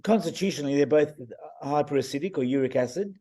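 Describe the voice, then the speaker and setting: A man on a video call explaining eye readings in a casual, enthusiastic way, his voice a bit croaky and full of restarts. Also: a little high, fast talker, warm tone. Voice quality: hesitant, many restarts and fillers. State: croaky voice, clearing throat. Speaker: iridologist guest reading eyes.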